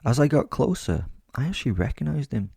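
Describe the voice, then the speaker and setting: A man playing a bored, foul-mouthed werewolf, telling his story in a wry, conversational way for a dark comedy audio drama. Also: medium pitch, big pitch swings, brisk, creaky, slightly rough, no room sound, clean studio sound, heavily acted. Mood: amused suspense. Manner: conversational, wry, casually sweary.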